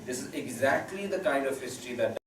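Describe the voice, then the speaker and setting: An earnest man, talking slowly on a seminar panel with lots of um and uh. He is picked up from a distance in an echoing seminar room, with steady background noise. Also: medium pitch. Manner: earnest, measured, slow build with frequent um and uh. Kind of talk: academic panel discussion. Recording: roomy echo, distant mic, noisy background.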